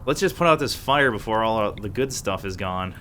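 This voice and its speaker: A man's voice: lowish voice, animated, normal speed, pushed and bright, soft background music. A man rambling casually as he comments on the video game he is playing. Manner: chatty, rambling, thinks aloud. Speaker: let's play gamer.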